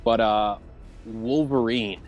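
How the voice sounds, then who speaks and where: A man's voice: fairly low pitch, steady tone, slow pace, lo-fi sound, faint music behind. A man talking informally as a panelist in a casual live-streamed group chat.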